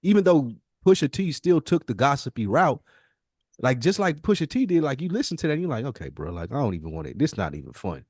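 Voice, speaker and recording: A man talking as a podcast host in casual, slangy, profane and animated hip hop conversation. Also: medium pitch, a little fast, breathy edge, no echo, studio quality.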